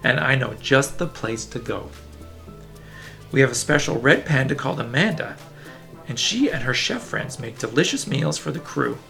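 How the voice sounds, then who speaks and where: Animated, gravelly-edged man reading for kids. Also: medium pitch, moderate pace, faint music behind. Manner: playful, enthusiastic, talking to young listeners. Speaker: children's book reader. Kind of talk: animated read-aloud storytelling with casual asides.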